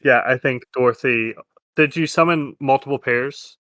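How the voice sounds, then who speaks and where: A man's voice: mid-range voice, normal speed, bright, forceful tone, dry recording. A man acting as game master, narrating a tabletop game in a casual style.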